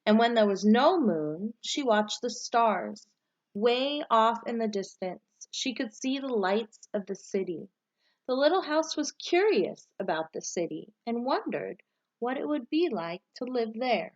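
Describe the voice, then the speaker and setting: A woman with a somewhat high voice speaks as a teacher doing a read-aloud for children. Her delivery is instructional and aimed at young listeners. The recording is a little dull and lo-fi. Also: lively intonation, measured pace. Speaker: teacher reading aloud to a class.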